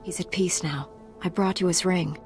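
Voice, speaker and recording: Actress as an earnest, principled heroine knight. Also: female voice, lowish voice, steady tone, moderate pace, faint music behind, lightly acted. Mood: firm compassion. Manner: composed, earnest. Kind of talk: video game voice acting.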